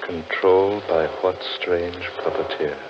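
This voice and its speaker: An actor playing a grim, foreboding man lost in a haunted forest, in a theatrical and deliberate 1940s radio horror style. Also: deep voice, steady tone. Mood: ominous. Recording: muffled, noisy 1940s radio recording.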